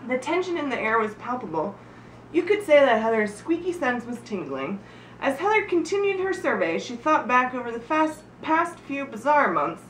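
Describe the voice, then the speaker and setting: A woman's voice: sits high, very animated, moderate pace. A woman reading a humorous story aloud to friends in a giggly, informal way, stumbling and breaking off to comment. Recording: echoey room, distant mic, background noise.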